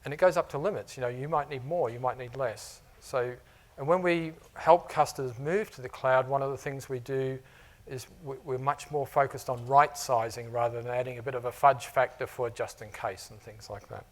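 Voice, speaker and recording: A man's voice: mid-range voice, fairly even intonation, moderate pace. A middle-aged man with a New Zealand accent mixed with Australian, giving a conversational conference talk to customers, with many "you know"s and "uh"s. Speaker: technology architect presenting to customers. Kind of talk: conference presentation. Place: conference room before a live audience.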